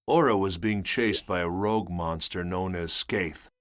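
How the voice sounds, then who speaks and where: An actor playing a sober engineer recounting his journal in measured, grave narration for a video game. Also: low-pitched. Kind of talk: scripted dramatic narration.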